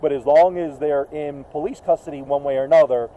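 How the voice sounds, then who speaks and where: A man's voice: fairly high pitch, steady tone, a little fast, mellow. A man casually explaining procedure on the job, recorded on dull body camera audio with some noise.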